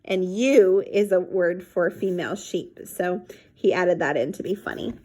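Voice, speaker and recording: A woman reading a children's chapter book aloud expressively, pausing to explain phrases to young listeners. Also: on the low side, darkish tone. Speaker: read-aloud storyteller.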